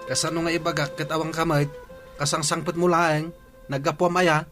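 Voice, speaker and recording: A man's voice: a little high, level tone, brisk, music in the background, lightly acted. A man with a Filipino Ilocano accent acting as a practical, exasperated husband who chides his wife bluntly in a radio drama. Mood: chiding.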